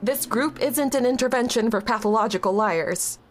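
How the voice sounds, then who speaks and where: A woman's voice: on the high side, normal speed, slight background noise, natural voice. An actress playing a young woman in a grief support group, evasive at first and then blunt and exasperated. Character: young woman, guarded and impatient. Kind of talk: audio drama acting.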